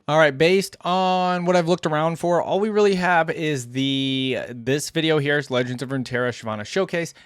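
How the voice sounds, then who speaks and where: A man's voice: medium pitch, no room sound, studio quality. A man giving casual gaming reaction commentary as a host, conversational and full of asides and questions to viewers.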